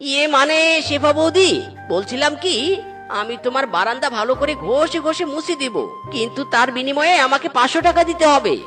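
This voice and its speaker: A woman plays a cheeky young male fox out of a cartoon, high in pitch and forever scheming for money. He wheedles and exclaims theatrically. Music plays under the voice. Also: fairly quick, natural voice. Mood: cajoling. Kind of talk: animated cartoon dialogue.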